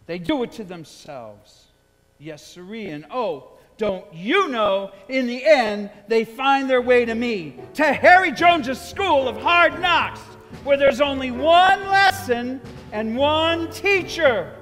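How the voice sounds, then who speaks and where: Actor playing a high-pitched, swaggering drug dealer in a hall. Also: male voice, lively intonation, takes it slowly, a bit bright, full character voice. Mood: boastful, menacing. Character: swaggering, seductive male drug dealer in a jazz bar. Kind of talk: theatrical staged reading of a music drama. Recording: echoey theater hall with music.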